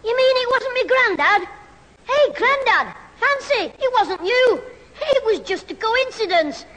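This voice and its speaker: Very high-voiced cheeky schoolboy, radio sitcom, bright delivery, echoing hall with audience. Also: animated, measured pace, full character voice. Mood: gleeful, cheeky. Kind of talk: 1970s radio sitcom acting. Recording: reverberant old radio recording before audience.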